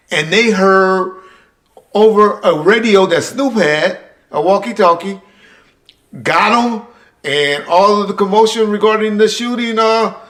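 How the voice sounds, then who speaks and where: A man's voice: high voice, a bit bright. An American man hosting a casual interview, probing and asking for clarity. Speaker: podcast host.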